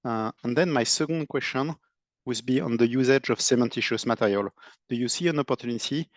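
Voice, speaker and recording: A male analyst asks business questions haltingly. His voice is of medium pitch, and the sound is dry and without echo. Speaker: financial analyst asking questions.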